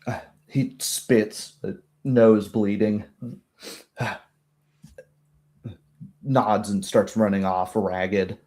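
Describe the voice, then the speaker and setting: A man narrating as game master, improvising descriptions of a tabletop scene in a halting, descriptive way. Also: fairly low pitch, flat delivery, a little mellow.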